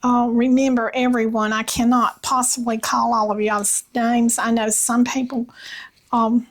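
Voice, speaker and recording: A woman with a Southern US accent and a slightly raspy voice, chatting casually about faith in a gentle, halting way with pauses. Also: a little high, flat delivery. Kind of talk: casual devotional chat with read-aloud passages.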